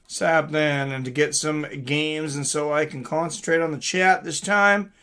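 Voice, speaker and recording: A man with a fairly high, very bright and forward voice hosts a live reaction stream. He talks in a chatty, informal way, greeting viewers, reading out chat and giving casual fight commentary. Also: fairly even intonation, moderate pace, clear, resonant tone.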